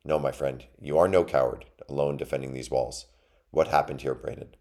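A man's voice: extremely low pitch, fairly even intonation, fairly quick, mellow. A man voicing a noble, humble male turtle knight with a formal, chivalrous way of speaking. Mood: kind.

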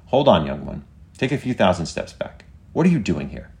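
A man's voice: low voice, big pitch swings, fairly quick, vocal fry, darkish tone, exaggerated voice. A man voicing a stubborn, indignant woman of very great age, blunt and scolding, in a storybook reading. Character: feisty, stubborn 126-year-old woman, indignant and blunt.

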